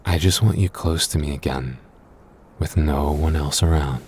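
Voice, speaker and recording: Possessive, affectionate boyfriend performed by a man for audio roleplay: very low-pitched, intimate, teasing delivery. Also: normal speed, darkish tone, a little hiss or hum. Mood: tender, possessive.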